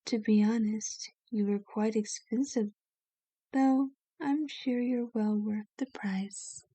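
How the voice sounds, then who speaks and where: Soft, slow, genteel vampire lady played by a woman. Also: fairly high pitch, dry recording. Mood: gentle, welcoming. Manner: gentle, soothing, formal and genteel wording. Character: kind, genteel female vampire, ageless, soothing and possessive. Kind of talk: ASMR roleplay acting.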